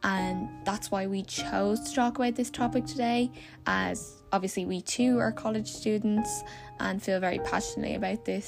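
A woman's voice: medium pitch, gravelly. An eighteen-year-old woman student co-hosting a podcast, talking in a chatty, casual way. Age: young adult.

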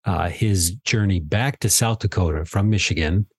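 A man co-hosting a podcast in casual conversation, easygoing and quick to interject agreement. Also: sits low, husky, no room sound. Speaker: podcast co-host interviewing his father. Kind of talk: casual chat.

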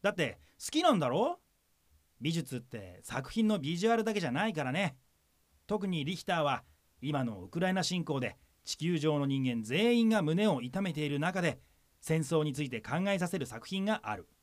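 A man playing an excitable, earnest younger brother in a comedic radio drama, with exaggerated delivery. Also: a little high, moderate pace. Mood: earnest, reassuring. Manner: exaggerated, earnest, breaks into yelps and stammers.

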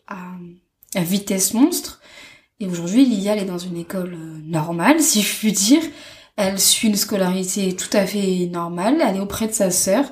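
A woman in her twenties, a guest sharing her story in a casual interview, speaking in a halting, thoughtful way with soft fillers. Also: medium pitch. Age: 20s. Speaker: interview guest, stay-at-home mother. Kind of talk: casual personal testimony.

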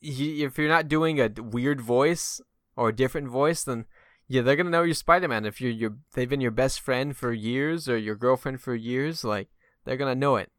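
Bright, clear-voiced man talking movies. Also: mid-range voice, moderate pace, dry recording, studio quality. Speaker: film podcast host. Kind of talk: casual film discussion.

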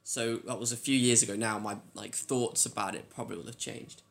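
A man's voice: on the low side, steady tone, a little fast, gravelly, a bit bright. A man co-hosting a pop culture podcast, chatting informally with tentative phrasing. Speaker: podcast co-host. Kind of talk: casual pop culture chat.

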